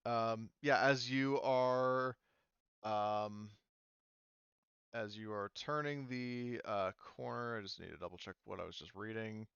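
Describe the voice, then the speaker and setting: A man's voice: lowish voice, steady tone, slow, creaky, fairly bright tone, smooth, no room sound, highs cut off. A man improvising as game master in a tabletop game, thinking aloud hesitantly and swearing casually.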